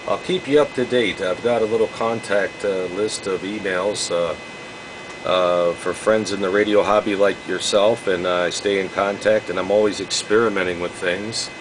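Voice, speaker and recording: A man chatting casually as a hobby radio operator, rambling and haltingly repeating himself. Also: deep voice, fairly even intonation. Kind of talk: casual radio contact chat. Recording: constant hum and noise behind voice.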